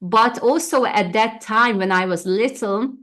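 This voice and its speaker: A woman's voice: fairly high pitch, a little slow, a bit bright. A woman whose first language is Russian, a coach giving an interview and talking in a casual, hesitant way with many fillers and restarts. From former Soviet Union, Hungarian region. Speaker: interview guest, energy coach.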